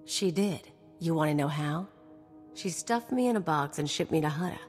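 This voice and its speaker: A woman's voice: low voice, animated, moderate pace, darkish tone. A woman playing a brash, scheming, vindictive mercenary in a video game, with a cocky, sardonic, slangy delivery. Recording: long reverb, music behind.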